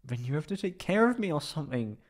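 A man voicing a shy, flustered young tsundere catboy in a high put-on voice, halting and stammering in a roleplay audio drama. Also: big pitch swings. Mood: shy, soft. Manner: halting, stammering, pleading and defensive.

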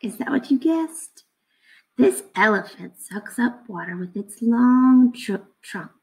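Thirties woman, teacher reading to children, unhurried, creaky mid-pitched voice. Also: animated, poor audio. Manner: explains simply, asking questions of young listeners. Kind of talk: casual read-aloud lesson for children. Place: indoors at a computer, webcam screen recording.

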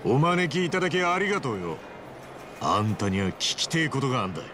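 A man's voice: medium pitch, very animated, a little fast, background noise, lightly acted. A man playing a brash, good-hearted hero in a Japanese game dub, talking bluntly and informally. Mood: earnest. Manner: blunt, informal, rough-edged speech.

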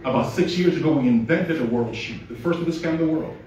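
A man's voice: a little high, expressive, fast, darkish tone. A middle-aged man with a Nigerian accent, telling his story in an earnest, inspirational speech on a handheld mic at an awards event. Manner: storytelling, earnest, rising to emphatic peaks. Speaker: award honoree giving a speech. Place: large hall at an awards event, red carpet. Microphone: handheld mic, filmed by a phone from the audience. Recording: echoey hall, far from the phone.

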